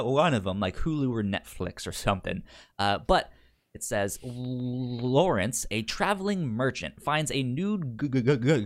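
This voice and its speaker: A man with a pronounced stutter, chatting casually as a podcast co-host and reading synopses aloud. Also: mid-range voice. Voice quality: pronounced stutter with repeated sounds and syllables.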